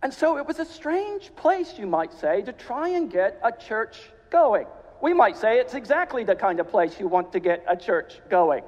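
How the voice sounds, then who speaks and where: A man's voice: high voice, big pitch swings. A man from South Carolina with a mid-Atlantic accent, a cathedral canon giving a guest sermon with dry humor; he is jet lagged. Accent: mid-Atlantic, American Southern with British. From South Carolina, USA. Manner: genial, dry humor, measured. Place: church. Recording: dull sound, long reverb of a church.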